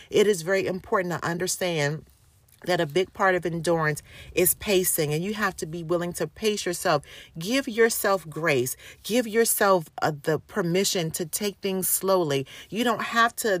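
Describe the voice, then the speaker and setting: A woman's voice: fairly low pitch, fairly bright tone, dry recording. A middle-aged woman teaching a devotional lesson in an earnest, encouraging way, repeating key phrases. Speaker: Bible teacher.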